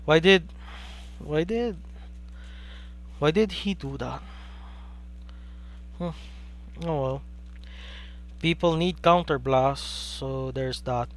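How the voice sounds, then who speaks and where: A young man from the Philippines with a Filipino accent, giving live play-by-play commentary on a card game stream, excitedly asking rhetorical questions and chatting with viewers. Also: fairly high pitch, takes it slowly, long pauses.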